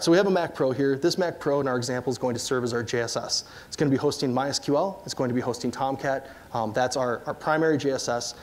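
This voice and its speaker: A man in his thirties with an upper Midwest accent, giving a relaxed technical conference talk with lots of fillers and questions to the audience. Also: medium pitch. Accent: upper Midwest American. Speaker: conference presenter. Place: large dark stage before an audience.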